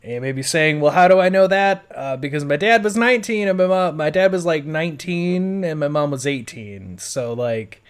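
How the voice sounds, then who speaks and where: Male, 30s, American, slightly high voice. Informal podcaster, unscripted and blunt, loose rambling delivery. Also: moderate pace, hardly any pauses, clean tone. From western New York State, USA. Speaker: podcaster telling his life story. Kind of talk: casual unscripted audio blog.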